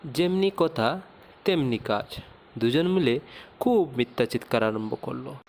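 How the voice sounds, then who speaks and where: A man's voice: mid-range voice, animated, unhurried, darkish tone. A man narrating a scripted moral tale for a cartoon as its storyteller.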